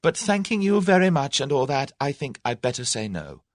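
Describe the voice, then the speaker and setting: A plucky young English woman of an audiobook novel, acted out by a man. The voice is raised and very animated, earnest and stubborn, full of exclamation. The sound is close and dry. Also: mid-range voice, moderate pace, no echo, voice pushed up. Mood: flustered refusal. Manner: earnest, exclamatory, self-scolding. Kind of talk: audiobook character voice.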